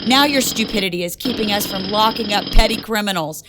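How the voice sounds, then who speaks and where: A woman's voice: medium pitch, brisk, background noise, close to an everyday voice. A woman playing a stern, exasperated police commissioner in an adult comedy radio drama, scolding bluntly and swearing. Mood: accusing.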